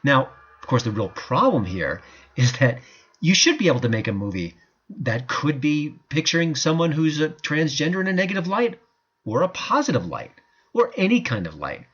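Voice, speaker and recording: Male podcast host, husky voice, animated pitch, opinionated, lo-fi sound. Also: normal speed. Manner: emphatic, lively delivery with frequent "frankly". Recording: dull lo-fi podcast audio.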